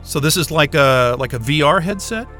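An actor playing an irreverent, wisecracking astrophysicist in a sci-fi audio drama, with a sarcastic, informal and exasperated way of talking. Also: male voice, a little high, animated, normal speed, sharp tone, faint music behind. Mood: curious, chatty. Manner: sarcastic, informal, hesitant muttering and outbursts.

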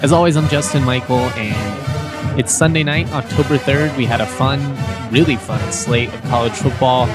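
A man with a slightly hoarse voice hosting a casual sports podcast, talking loosely and repeating words as he goes. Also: mid-range voice, normal speed, loud music behind the voice. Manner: chatty, repeats words, laughs between points.

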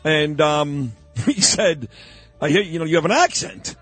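Husky talk-radio host, rambling. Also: male voice, a little high, unhurried, fairly bright tone, faint music behind. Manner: rambling, boastful asides. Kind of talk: casual opinionated talk radio.

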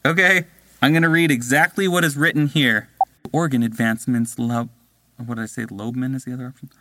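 A man's voice: medium pitch, a little fast, background noise. A man recording voice lines who trips over hard names, curses and tries again. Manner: lively, breaks off to curse and retry. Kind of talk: blooper outtakes, swearing at tricky words.

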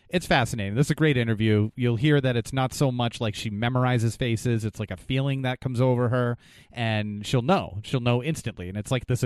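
A man's voice: medium pitch, no room sound. A man with a New England accent co-hosting a podcast, chatting casually and rambling with fillers. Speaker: podcast co-host.

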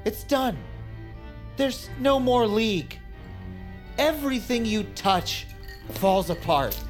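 A self-important, resentful doctor in an audio drama. His phrasing is halting and dismissive with lively pitch movement, and faint music sits behind him. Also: full character voice. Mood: bitter, accusing.

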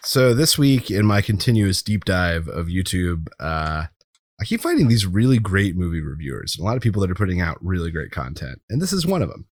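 A man's voice: sits low, sing-song, brisk. A man co-hosting a podcast, casually chatting and giving recommendations. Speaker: podcast co-host. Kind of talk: casual review and recommendation chat.

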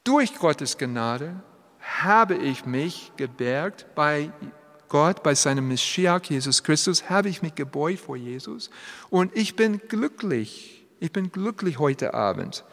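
A man preaching a sermon in German, which is not his first language; he speaks haltingly and drops in English phrases. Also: on the high side, lively intonation, a bit bright. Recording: long hall reverb.

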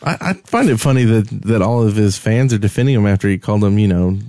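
A man's voice: fairly low pitch, lively intonation, fast talker, warm tone, dry recording, studio quality. A man co-hosting a podcast in casual banter, with wry, teasing remarks. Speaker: podcast co-host.

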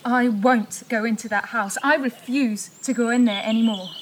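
A woman's voice: fairly high pitch, steady tone, moderate pace, natural voice. A woman playing an anxious, bitter sister in an audio drama, speaking in an emphatic, exasperated manner. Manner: emphatic, exasperated, repeating herself. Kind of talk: naturalistic audio drama acting. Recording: clear outdoor bird noise. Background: birdsong, outdoor ambience.

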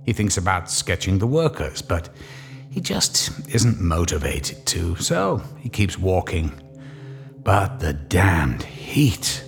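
A man's voice: sits low, sing-song, slow pace, vocal fry, soft background music. A man narrating an old-time radio drama in a dramatic, suspenseful storytelling manner.

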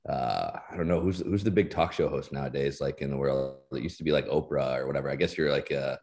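A man's voice: a bass voice, fast talker. A middle-aged man, a guest on a podcast, chatting in a laid-back, self-deprecating way with many fillers. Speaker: guest, insurance executive. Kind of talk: casual interview chat.

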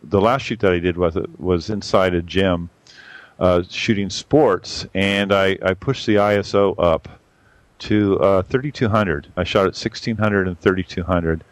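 A man with a boomy voice giving a rambling, casual camera review full of fillers and restarts. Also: steady tone, unhurried, dry recording. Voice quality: boomy on a mic. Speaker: photography podcast regular reviewing cameras.

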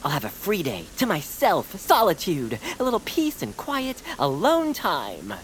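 A woman's voice: on the low side, huge pitch swings, a little slow, heavy background noise. A woman voicing a fussy, timid, polite male homebody in a family audio drama, prim and hesitant. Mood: prim, contented. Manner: prim, hesitant, stammering when flustered.

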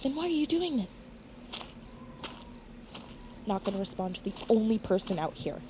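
A woman's voice: medium pitch, big pitch swings, moderate pace, background noise, lightly acted. A young woman playing an exasperated, accusing but loyal girl in an audio drama, indignant and dramatic. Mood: indignant, hurt.

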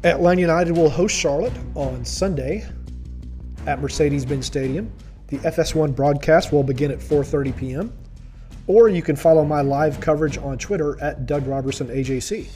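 Male podcast host, stuffy-nosed, wry and self-deprecating, mellow and slightly high. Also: normal speed, faint music behind. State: stuffy from pollen allergy. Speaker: podcast host and sports reporter. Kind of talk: podcast commentary.

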